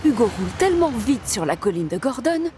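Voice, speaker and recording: Lively woman narrating a children's story. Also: fairly high pitch, fairly quick, noisy background. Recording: music behind.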